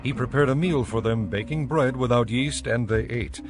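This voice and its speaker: A male narrator reads scripture in a medium-pitched, measured voice. Faint music plays behind his scripted storytelling. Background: faint background music.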